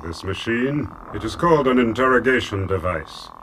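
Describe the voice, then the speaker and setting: An actor in a radio drama playing a cold, snooty, menacing villain interrogator with a commanding, formal manner. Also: male voice, on the low side, big pitch swings, normal speed, gravelly, noisy background. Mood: cold menace.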